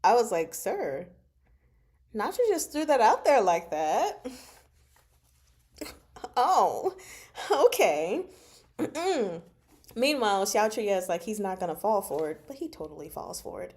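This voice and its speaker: A woman hosting a casual book discussion, speaking from notes in an animated, giggly, self-interrupting way. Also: mid-range voice, slow pace, pauses often. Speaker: host of a book discussion show. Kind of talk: casual fan discussion, reading from notes and comments.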